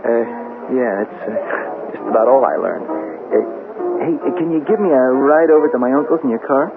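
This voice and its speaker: An actor playing a weak, desperate young man with a hesitant, stammering, excitable manner in a 1970s radio drama. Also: medium pitch, moderate pace, vocal fry. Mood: uneasy, evasive. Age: young adult. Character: weak, desperate young son needing money. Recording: tinny old radio broadcast with music behind.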